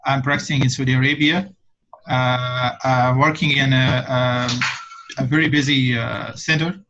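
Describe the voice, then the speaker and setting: A man's voice: medium pitch, fairly even intonation, unhurried, background noise. A man from Egypt, a neurosurgeon, introducing himself on a panel over a call, hesitant and full of fillers. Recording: dull lo-fi call audio.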